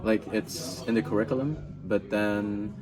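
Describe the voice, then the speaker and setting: A man's voice: on the low side, fairly even intonation, a little slow, over music, low-quality recording. A young man with a Flemish accent, chatting casually as a local guide, full of "like" and "uh" fillers. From Flemish part of Belgium. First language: Dutch. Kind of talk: casual explaining chat.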